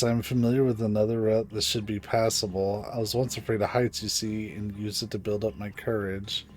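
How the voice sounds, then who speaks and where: A man's voice: on the low side, a little mellow, lightly acted. A man reads as a wary mountain local in a video game, emphatic and cautionary. He sounds reluctant yet helpful, with faint music underneath. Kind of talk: reading game dialogue aloud.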